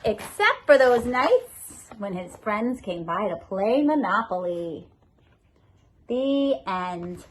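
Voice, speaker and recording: A woman's voice: medium pitch, sing-song, takes it slowly, slight background noise, rough recording. A woman reading a children's storybook aloud expressively, as a school assistant principal addressing her pupils.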